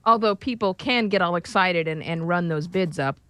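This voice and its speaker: A woman with a fairly low voice hosts a morning radio show. She speaks as an interviewer, putting questions to a guest and introducing segments. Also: moderate pace, bright, forceful tone, smooth, no room sound.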